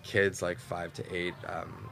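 Brief: man, native Mandarin speaker, very low pitch, bright forceful tone, chatty storyteller. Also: slow, gravelly. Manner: lively storytelling, many likes and you-knows. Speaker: actor guest. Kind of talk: casual interview chat.

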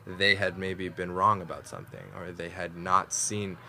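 Brief: man, native Mandarin speaker, very low pitch, bright forceful tone, chatty storyteller. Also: moderate pace, a little hiss or hum. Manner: lively storytelling, many likes and you-knows. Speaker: actor guest. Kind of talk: casual interview chat.

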